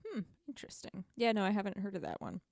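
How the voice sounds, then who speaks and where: A woman co-hosting a podcast, talking about video games in a blunt, informal, animated way. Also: medium pitch, fast, gravelly, no echo, clean studio sound. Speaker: podcast co-host. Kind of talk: casual video game discussion.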